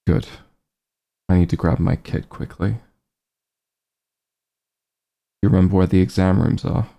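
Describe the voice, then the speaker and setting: A man playing a calm, caring back-room doctor who gently scolds an old friend in a softly spoken ASMR roleplay, soothing with dry bluntness. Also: full character voice. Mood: brisk, dry concern. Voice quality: low, gravelly, husky. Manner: soothing, unhurried bedside reassurance with dry bluntness.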